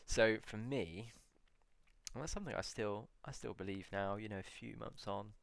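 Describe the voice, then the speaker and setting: A man in a casual solo video, musing aloud as he reads out old titles slowly, with many pauses and fillers. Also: lowish voice, gravelly, dry recording. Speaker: video blogger.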